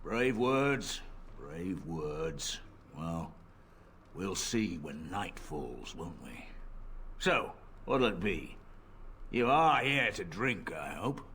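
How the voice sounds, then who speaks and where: An actor playing a greedy, stubborn middle-aged tavern keeper in a video game, wheedling and then cowardly. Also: male voice, sits low, big pitch swings, drawn-out words, long pauses, fairly bright tone, a little hiss or hum, full character voice. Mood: smug. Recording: game audio with faint ambience.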